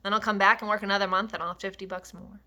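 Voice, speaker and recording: A woman's voice: medium pitch, little pitch movement, rapid-fire, pushed and bright, natural voice. A woman reading the lines of a worried, protective ranch worker, resigned and urgent in turn.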